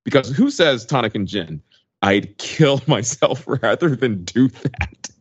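A man's voice: mid-range voice, animated, unhurried, breathy edge, no room sound. A man in his forties chatting casually as a podcast panelist, giving his opinions in an informal, conversational way. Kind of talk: casual chat. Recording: dull lo-fi sound, very dry.

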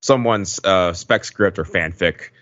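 A man's voice: low voice, unhurried, fairly bright tone, dry recording. A middle-aged American man talking casually as a podcast panelist. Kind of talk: casual chat. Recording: dull, slightly lo-fi microphone.

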